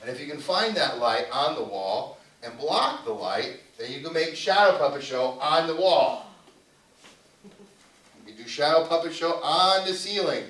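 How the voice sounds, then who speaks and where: A man with a slightly high voice gives an informal live talk as a puppeteer. He is instructive and playful with a family audience. The room is echoey and the mic sits some way from him. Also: lively intonation, unhurried, a bit bright, far from the mic. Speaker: puppeteer presenter. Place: indoor venue, live show.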